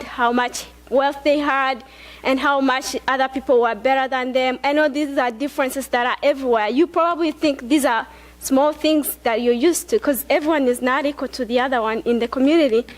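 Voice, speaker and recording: Young woman from Rwanda, high voice. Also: fairly even intonation, moderate pace. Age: young adult. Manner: earnest, conversational, with frequent fillers and restarts. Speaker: student speaker. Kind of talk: personal talk on stage. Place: large dark auditorium stage. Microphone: headset.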